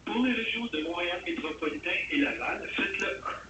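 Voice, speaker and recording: A man's voice: high-pitched, animated, brisk. A man giving a formal recorded phone menu greeting over a telephone line. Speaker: automated phone menu announcer. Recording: noisy echoey distant phone line.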